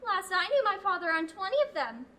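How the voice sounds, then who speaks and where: A teenage actor playing a curious, earnest young novice in a student radio play. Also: female voice, very high-pitched, very animated, brisk, sharp tone, a little hiss or hum, full character voice. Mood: chatty. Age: teens. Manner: earnest and pleading.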